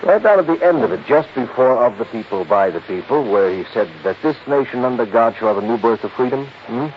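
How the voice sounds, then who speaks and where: An actor playing a worried, pessimistic American insurance executive in a 1950s radio drama, explaining emphatically and prodding with questions. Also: male voice, medium pitch, expressive, a little fast, close to an everyday voice. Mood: emphatic explaining. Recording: dull, hissy 1950s radio recording.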